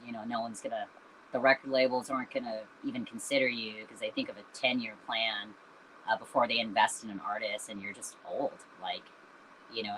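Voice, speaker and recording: A woman's voice: rumbling low, fairly bright tone, slight background noise, poor audio. A woman in her fifties, a singer who founded her band, answering interview questions in an earnest, reflective way with many "you know"s and "um"s. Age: 50s. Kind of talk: candid conversational interview answers.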